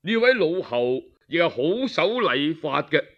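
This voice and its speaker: A man with a Cantonese accent voicing a cautious, deferential chief minister in a story, speaking in a careful, respectful low voice. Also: big pitch swings, a little slow, muffled recording. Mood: cautioning.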